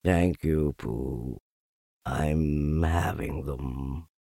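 A man voicing a melancholy donkey who speaks slowly and mournfully, murmuring as if to himself, in a storybook reading. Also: extremely low pitch, sing-song, vocal fry, a little mellow, slightly rough, no echo, studio quality, voice pushed down, exaggerated voice. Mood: gloomy. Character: gloomy, slow, melancholy male donkey.

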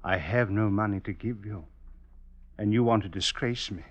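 Actor as a suave, evasive doctor, muffled old radio. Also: male voice, on the low side, moderate pace, dry recording, close to an everyday voice. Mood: quietly menacing. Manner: genteel, blandly evasive small talk. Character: suave evasive debt-ridden murderous male doctor. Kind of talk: old-time radio drama acting. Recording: muffled vintage radio recording.

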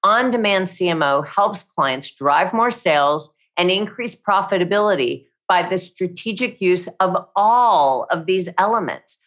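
A woman hosting an interview, reading a polished, effusive introduction at a measured pace. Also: lowish voice, lively intonation, fairly bright tone. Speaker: host.

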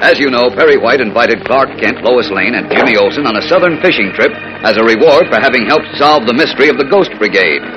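A man's voice: mid-range voice, animated, fast talker, few pauses, highs cut off. A man announcing and narrating a 1940s radio serial in a polished, suspenseful manner. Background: loud music.

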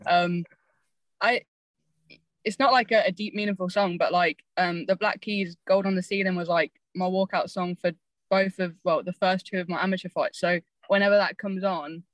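A woman's voice: on the low side, fairly even intonation, moderate pace, no room sound, low-quality recording. A woman guest who fights as an amateur, chatting easily on a video call and often trailing off with 'like'. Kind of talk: casual chat over video call.

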